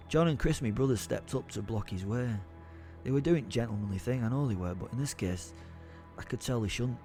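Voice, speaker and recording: A man's voice: fairly low pitch, a little mellow, close to an everyday voice. An actor playing a rattled, plain-spoken young man on a night out, telling his story in an agitated, self-questioning way in an audio drama. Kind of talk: dramatised first-person storytelling.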